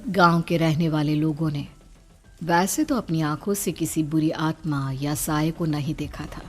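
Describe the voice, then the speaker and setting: A woman's voice: deep voice, fairly even intonation, moderate pace, darkish tone. A woman narrating a scripted horror story in a dramatic storytelling style.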